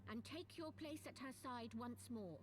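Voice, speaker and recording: Woman acting as shrewd noblewoman, formal archaic diction, high lilting voice, fantasy game cutscene. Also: lively intonation, normal speed, lightly acted. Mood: resolute, commanding. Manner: formal, eloquent, archaic speech. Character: composed, shrewd noblewoman of a fantasy realm, politically minded.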